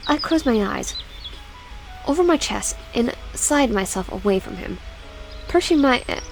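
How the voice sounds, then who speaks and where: A woman's voice: a little high, expressive, music in the background, poor audio. A young adult woman reading a horror visual novel aloud, stumbling and restarting often, then chatting to her viewers. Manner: halting reading with many restarts, chatty asides. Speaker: gaming YouTuber.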